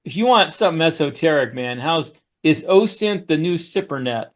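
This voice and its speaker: Higher-voiced man telling casual, rambling stories. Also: expressive, normal speed. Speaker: podcast co-host, author. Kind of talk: casual anecdotal chat.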